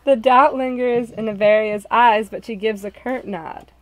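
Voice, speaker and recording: A woman's voice: on the high side, steady tone, a little slow, no echo, rough recording. Woman narrating a story, very dry sound. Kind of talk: expressive scripted story narration.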